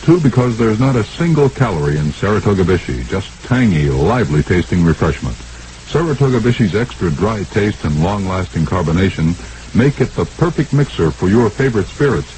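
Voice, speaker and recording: A man's voice: low-pitched, mellow. An American man reading a scripted commercial in the style of 1960s radio. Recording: slightly noisy vintage radio broadcast.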